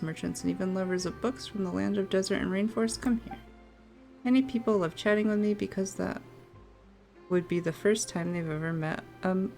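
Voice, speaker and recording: A woman's voice: medium pitch, creaky, darkish tone. Playful woman chatting over faint game music. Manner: giggly, playful. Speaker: game streamer. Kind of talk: casual playthrough chat and reading game text aloud.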